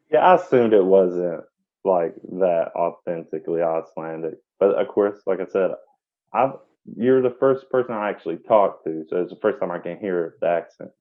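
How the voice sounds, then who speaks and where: Man, podcast host, lower voice, rambling, tinny phone-like audio. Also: expressive, moderate pace. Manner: rambling, many fillers and restarts. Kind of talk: casual podcast interview. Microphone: online call.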